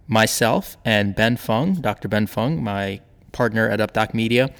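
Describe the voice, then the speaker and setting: Gravelly upbeat male podcast host. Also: on the low side, big-room sound. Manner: upbeat, chatty promo delivery. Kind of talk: casual podcast ad reads and announcements.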